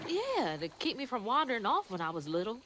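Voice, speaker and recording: Young game heroine, earnest n determined, highish voice, very expressive. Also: female voice, a little fast, slight background noise. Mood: worried, questioning. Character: earnest, determined young Southern woman searching for her mother. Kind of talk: video game cutscene dialogue.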